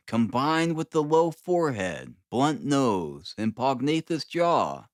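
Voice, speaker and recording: A man narrating a classic story as an audiobook, in a measured, deliberate, dramatic reading style. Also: mid-range voice, dry recording, studio quality. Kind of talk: dramatic scripted literary narration.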